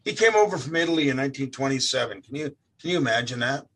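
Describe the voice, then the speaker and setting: An older man from Canada, a guest musician telling stories about his family in a relaxed, rambling way, often repeating words. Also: medium pitch, fast talker, husky. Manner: rambling, repeats words, animated when quoting. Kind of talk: relaxed storytelling.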